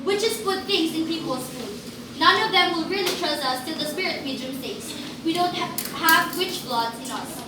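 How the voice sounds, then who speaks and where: A woman's voice: extremely high pitch, animated, normal speed. A young woman playing an outspoken, indignant girl in amateur reader's theater, speaking emphatically and argumentatively. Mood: exasperated. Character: outspoken, indignant girl defending women and babies. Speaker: student performer in a reader's theater. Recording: echoey room, far from mic, noisy.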